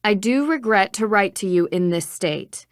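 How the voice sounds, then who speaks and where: A woman's voice: mid-range voice, lively intonation, moderate pace, clear, resonant tone, no room sound, natural voice. A young woman's mid-range voice in a clean, dry studio sound, an actress as an amateur sleuth in an adventure game. She is curious and self-assured, with a dry, questioning delivery. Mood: thoughtful. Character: curious, confident young female amateur detective. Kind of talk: video game dialogue.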